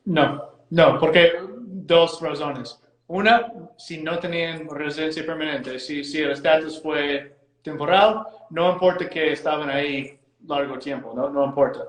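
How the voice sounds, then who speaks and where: American guy, english his first language, explaining law in slow halting spanish, echoey room. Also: fairly high pitch, steady tone. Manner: hesitant, repeats words, careful phrasing. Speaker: immigration lawyer, guest. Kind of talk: live interview, explaining law.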